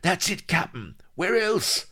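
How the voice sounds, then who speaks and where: A coarse pirate henchman in an audiobook. He grumbles and exclaims in blunt, common speech, pitched far up and leaping about excitably. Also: takes it slowly, breathy edge, exaggerated voice. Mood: grumbling.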